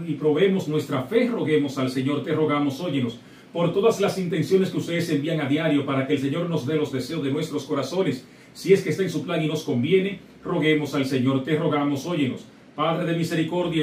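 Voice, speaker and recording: Dominican priest, middle-aged guy, slow solemn mass prayers, kinda high voice, roomy echoey sound. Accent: Dominican Spanish. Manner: solemn, measured liturgical recitation, also saying the people's responses. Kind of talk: saying Mass, liturgical prayer. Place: small studio before a backdrop. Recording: roomy, slightly distant mic with faint hiss.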